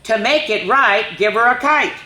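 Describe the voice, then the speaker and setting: A woman's voice: a little high, animated, normal speed, echoey room, noisy background. A woman reading a rhyming picture book aloud to children, with chatty asides between pages. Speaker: children's storyteller.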